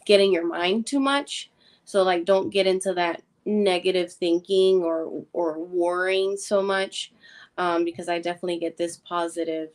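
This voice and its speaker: A woman, a guest psychic on a live stream, giving reassuring readings with many pauses and ums. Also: lowish voice.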